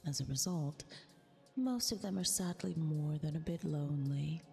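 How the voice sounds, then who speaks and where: A woman's voice: deep voice, gravelly, warm tone. A woman voicing a vain, possessive eldritch empress in an ASMR roleplay, with formal, condescending, intimate delivery. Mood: amused, condescending. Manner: imperious, condescending, formal diction, drawn-out delivery. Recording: long reverb, music behind. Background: music.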